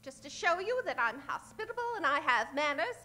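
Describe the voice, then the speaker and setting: A woman's voice: sits high, big pitch swings, a little fast, bright, forceful tone, full character voice. A woman playing a prim, righteous frontier schoolmarm in an old-time radio comedy western, scolding in a proper, theatrical way. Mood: firm, righteous.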